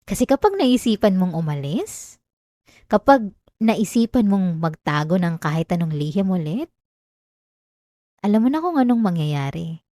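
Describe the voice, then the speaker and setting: A woman's voice: on the low side, big pitch swings, moderate pace, pauses often, dark tone, no room sound, studio quality. A woman with a Filipino accent playing an obsessive, possessive girlfriend in an intimate ASMR roleplay, coaxing and clingy with veiled menace. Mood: calm, threatening. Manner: clingy, coaxing, breaking into giggles, veiled threats. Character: possessive obsessive girlfriend, sweetly menacing, unhinged yandere lover. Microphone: close dry microphone.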